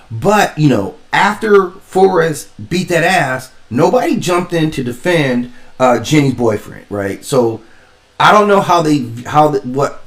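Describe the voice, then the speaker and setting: A man giving casual spoken commentary as a stream host, rambling and emphatic as he thinks aloud. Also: mid-range voice. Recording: roomy echo, distant from mic.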